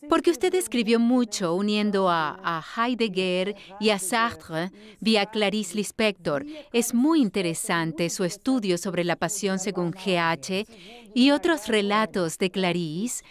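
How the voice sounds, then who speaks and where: Woman dubbing a bookish lady interviewer, average pitch, articulate and curious, chatty interview style. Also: animated, unhurried, lightly acted. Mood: engaged. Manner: articulate, inquisitive, conversational. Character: attentive, scholarly female interviewer. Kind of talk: Spanish voice-over dub of an interview.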